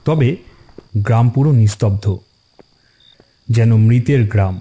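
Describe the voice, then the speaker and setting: A man's voice: mid-range voice, measured pace, warm tone. A man narrating a scripted ghost story in a dramatic storytelling style. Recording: clear music bed under narration. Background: music.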